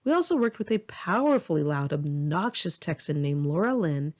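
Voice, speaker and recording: A woman's voice: low-pitched, sing-song, normal speed, darkish tone, highs cut off. A woman reading her own memoir aloud in an informal audiobook style, candid, wry and conversational. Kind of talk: informal first-person audiobook narration with asides.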